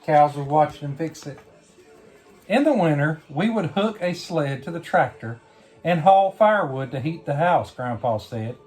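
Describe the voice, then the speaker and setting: A woman reading a picture book aloud to a young child, pausing to ask simple questions. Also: low-pitched, lively intonation, a little mellow, soft background music. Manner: simple questions and prompts addressed to a small child. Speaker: bedtime story reader.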